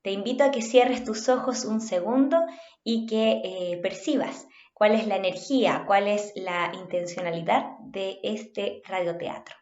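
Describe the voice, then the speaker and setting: A woman with a Chilean accent giving an instructional talk as a workshop facilitator, in a measured, inviting way. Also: medium pitch, a little mellow. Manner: measured, inviting, checks understanding. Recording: roomy, slightly dull home recording.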